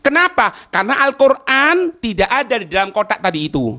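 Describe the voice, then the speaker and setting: A man's voice: very high-pitched, big pitch swings, fairly quick, bright, forceful tone. A man with an East Javanese Indonesian accent teaching an Islamic text, explaining it phrase by phrase with frequent rhetorical questions. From East Java, Indonesia. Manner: didactic, asks rhetorical questions and checks understanding. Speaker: Islamic teacher giving a religious study class. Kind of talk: religious lesson reading and explaining an Arabic text line by line.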